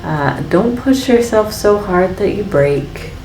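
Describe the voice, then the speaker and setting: A woman's voice: mid-range voice, expressive, slow, a little mellow, recorded from a distance, a little hiss or hum. A woman artist hosting a painting vlog, talking casually and reflectively while she mixes paint in her studio, with lots of fillers and little tag questions. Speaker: artist and channel host. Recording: echoey room, voice off-mic.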